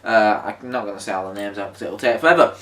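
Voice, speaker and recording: A man's voice: on the low side, fast talker, fairly bright tone, a little hiss or hum. A man hosting an unscripted, casual review chat as the presenter of a video. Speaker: video host. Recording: roomy echo, distant mic, music.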